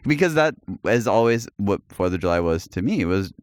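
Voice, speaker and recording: A deep-voiced man co-hosting a podcast in easygoing, rambling chat, often breaking into a laugh. His voice is smooth and clear. The recording is dry and close, with no room sound. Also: very animated, normal speed, dry recording. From United States. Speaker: podcast co-host. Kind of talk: casual chat.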